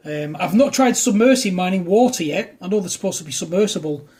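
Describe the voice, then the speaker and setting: Man, husky higher-pitched voice, casual amateur radio chat. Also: lively intonation, fairly quick, a little mellow.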